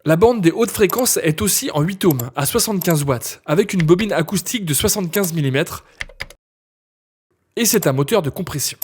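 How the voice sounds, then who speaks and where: Male instructor, slightly high husky voice, scripted step-by-step software tutorial read. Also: lively intonation, normal speed.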